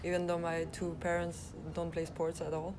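French tennis player girl, flat low-ish voice, lots of uh's, some hiss behind. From France. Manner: soft-spoken and modest, with many "uh" pauses. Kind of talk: post-match interview. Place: press room at a tennis event, indoors.

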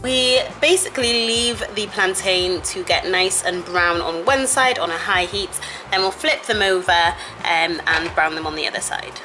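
A woman's voice: fairly low pitch, normal speed, rough recording. Chatty young woman over music. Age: young adult. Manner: chatty, informal, playful asides. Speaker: cooking vlogger. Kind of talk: casual cooking narration. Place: home kitchen, indoors. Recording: music and frying sizzle behind voice. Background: music, sizzling food, stirring.

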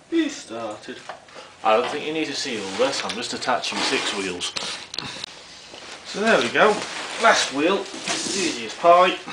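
Male British vlogger for casual unboxing: animated, jokey, self-muttering; distant mic, heavy noise. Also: medium pitch, creaky. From United Kingdom. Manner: jokey, muttering to himself as he works. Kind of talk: casual unboxing and assembly commentary. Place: indoors in a concrete-floored garage workshop. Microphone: camera mic a short distance away. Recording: roomy, distant mic, very noisy with clicks.

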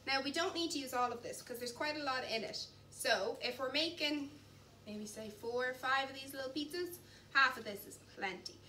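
A woman's voice: high-pitched, normal speed, pushed and bright. Girl in her 20s doing a cooking demo, chatty, mic kinda far off in a big kitchen. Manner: chatty and encouraging, talks while chopping and mixing. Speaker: cooking instructor. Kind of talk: cooking demonstration. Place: large indoor kitchen. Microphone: camera at a distance. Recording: distant mic, faint background noise.

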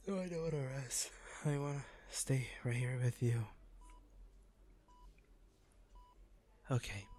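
A man playing an injured, devoted boyfriend in an intimate audio roleplay, speaking tenderly, earnestly and haltingly. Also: medium pitch, mellow, close to an everyday voice. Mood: relieved, playful, then drowsy, affectionate. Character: injured, devoted boyfriend proposing from a hospital bed.

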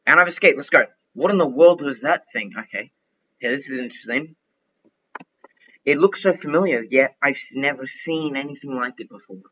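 A young man giving chatty, excitable commentary while playing a game, thinking out loud as he goes. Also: mid-range voice, moderate pace, lo-fi sound, a little hiss or hum. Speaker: gaming youtuber. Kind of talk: casual gameplay commentary.

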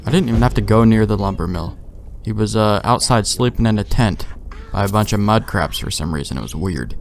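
A man's voice: on the low side, lively intonation, a little fast, creaky, a little hiss or hum. A man doing casual let's play commentary, thinking aloud informally and swearing here and there. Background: video game ambience.

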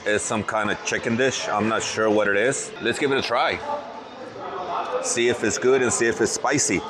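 Low-voiced man chatting over a meal. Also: normal speed, a crowd in the background. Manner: easygoing, repeats words while thinking aloud. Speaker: vlogger host. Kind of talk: casual food vlog chat. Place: indoor restaurant table.

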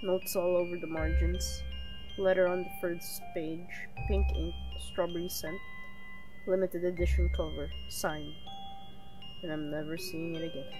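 A woman's voice: on the low side, little pitch movement, unhurried. Wry woman streamer over game music. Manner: wry, teasing. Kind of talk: casual game playthrough commentary.

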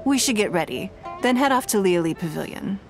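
A woman voicing a poised, elegant noblewoman leader in a video game, composed, gracious and measured. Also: medium pitch, animated, soft background music, natural voice. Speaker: game character.

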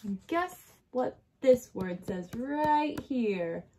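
A woman kindergarten teacher reads to young children in a slightly high voice that moves up and down a lot. She takes her time and speaks right to the children, checking in with questions. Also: extremely slow, a little hiss or hum. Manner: expressive, addressing children directly with check-in questions. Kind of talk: read-aloud storytelling for young children. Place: small decorated indoor room.

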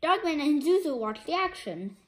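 A woman reading a children's comic book aloud as an expressive storyteller. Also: sits high, normal speed. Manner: expressive, voicing sound effects.

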